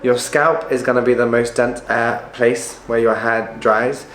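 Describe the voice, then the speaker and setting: A man, a hairstylist, sounds husky after the flu and explains things directly in an educational vlog. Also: medium pitch, little pitch movement, a little slow, a bit bright, roomy sound, slight background noise, poor audio. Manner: direct, explanatory, asks the viewer if it makes sense.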